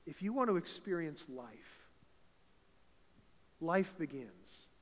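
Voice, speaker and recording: A fervent male pastor from eastern Canada preaches with a big, slightly high voice, and his words ring out through a reverberant church hall. He swells from conversational talk to loud, emphatic peaks. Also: big pitch swings, slow pace. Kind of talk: sermon. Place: church sanctuary.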